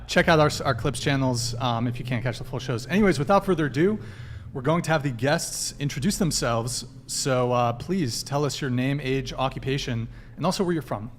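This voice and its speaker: A man hosting a casual dating podcast, reading shout-outs and joking between plugs. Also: mid-range voice, slight background noise. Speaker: podcast host.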